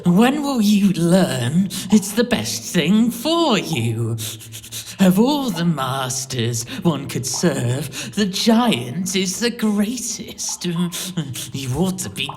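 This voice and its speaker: Sly, low-voiced pantomime henchman. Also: sing-song, very slow, a little mellow, full character voice. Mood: bullying. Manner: obsequious, sly, theatrical. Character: fawning, scheming servant of a giant, bullying jailer. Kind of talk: audio pantomime acting. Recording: long echoing dungeon-like reverb.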